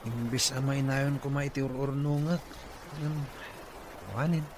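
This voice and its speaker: A man's voice: medium pitch, steady tone, unhurried, close to an everyday voice. A man playing a puzzled, dutiful young farm son in a radio drama. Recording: clearly noisy background.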